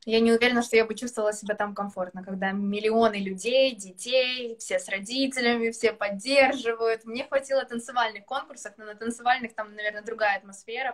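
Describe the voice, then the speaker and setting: A woman in her twenties chatting casually on a video call from a room at home, laughing often and talking with animated gestures. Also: a little high. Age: 20s. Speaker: guest singer. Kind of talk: casual live-stream chat. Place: indoor room with curtains.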